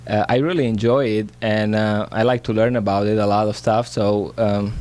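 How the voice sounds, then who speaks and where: A man with a Romanian accent answers interview questions in a radio studio. His voice sits fairly low. He keeps his replies short and hesitant, with many fillers. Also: steady tone, moderate pace, darkish tone. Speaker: flooring business owner.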